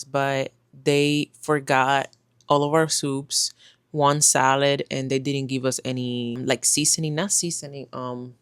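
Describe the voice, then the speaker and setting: A woman in her twenties, a native Spanish speaker, talking casually to camera with many pauses and fillers. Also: deep voice, clean tone, no echo. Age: 20s. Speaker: vlogger. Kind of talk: casual talking-head vlog.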